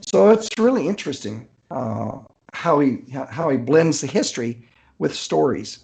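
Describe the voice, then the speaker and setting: A man's voice: on the high side, animated, measured pace, vocal fry, a little mellow, slightly rough. A man speaking as an author guest in a casual interview.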